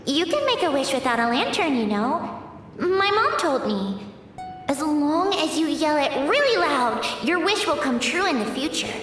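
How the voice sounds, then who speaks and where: A woman's voice: high voice, sing-song, a little slow, very echoey, in a large hall, far from the mic. A woman voicing an earnest, encouraging young girl in a video game. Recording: music under the voice.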